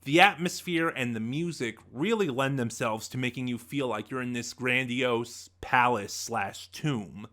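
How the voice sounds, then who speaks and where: A man's voice: mid-range voice, measured pace, hardly any pauses, pushed and bright. A man giving a casual spoken review as a channel host, conversational and opinionated, thinking aloud as he goes.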